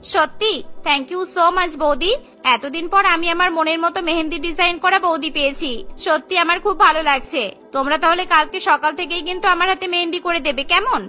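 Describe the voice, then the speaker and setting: Woman doing a gushing, high-pitched young sister-in-law. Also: brisk, sharp tone, soft background music. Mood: delighted. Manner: gushing, eager.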